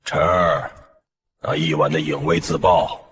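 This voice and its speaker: An actor playing an aged emperor and father, grave and secretive, speaking solemnly and deliberately in a husky, gravelly voice for an animated drama. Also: rumbling low, background noise. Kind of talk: dramatic animated-series acting.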